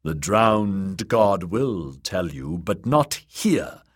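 A gaunt prophet of a sea god, acted by a male narrator, preaching with solemn command. He takes his time over each phrase, and his pitch rises and falls widely with zeal, in the manner of a fantasy storybook. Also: big pitch swings, very slow, husky, heavily acted. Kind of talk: dramatised audiobook character voice.

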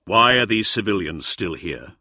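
A man's voice: very animated, normal speed, pushed and bright, dry recording, studio quality, exaggerated voice. A man voicing a giant, implacable armoured warrior in an audiobook, cold, terse and commanding, with a hard edge. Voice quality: deep, penetrating, hard-edged. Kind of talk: dramatised audiobook character voice.

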